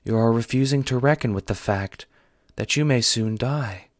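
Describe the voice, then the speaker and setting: A man voicing a blunt female alien troll time traveler in an audio drama, speaking formally and with measured care. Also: mid-range voice, background noise, natural voice. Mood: blunt, solemn. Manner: formal, measured, few contractions.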